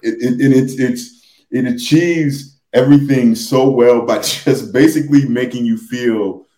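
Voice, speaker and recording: A man in a casual podcast discussion who talks haltingly, searching for his words. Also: medium pitch, dark tone, reverberant. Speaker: podcast speaker.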